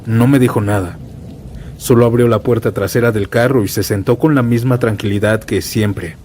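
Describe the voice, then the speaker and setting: A man's voice: on the low side, normal speed. A man narrating scripted first-person horror stories in a solemn, dramatic way, as a storyteller. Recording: steady rain and thunder noise bed. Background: rainstorm with thunder.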